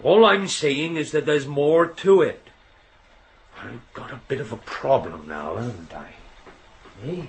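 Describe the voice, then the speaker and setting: An actor playing a proud, touchy middle-aged signalman father in a radio drama, gruff and lecturing. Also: mid-range voice, lively intonation, measured pace, a little hiss or hum. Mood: tetchy. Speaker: railway signalman in a radio play. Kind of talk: naturalistic radio drama acting.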